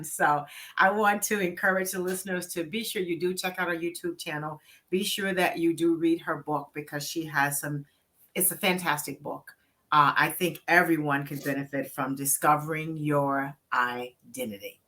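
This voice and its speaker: A woman's voice: lowish voice, normal speed, pushed and bright. A woman hosting an interview podcast, upbeat and encouraging as she introduces and questions her guest. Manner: upbeat, encouraging, laughs easily. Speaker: podcast host.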